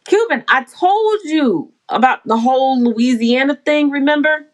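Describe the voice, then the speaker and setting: A woman's voice: high voice, lively intonation, a little slow. A brash, playful woman from the Bahamas chatting casually and swearing freely on a livestream. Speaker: livestream host.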